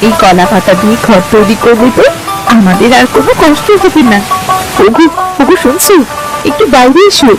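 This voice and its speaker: A woman playing a caring, anxious village wife and mother in an animated folk tale, coaxing and tenderly scolding, with music behind her. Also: fairly high pitch, normal speed, natural voice, low-quality recording. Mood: excited, coaxing.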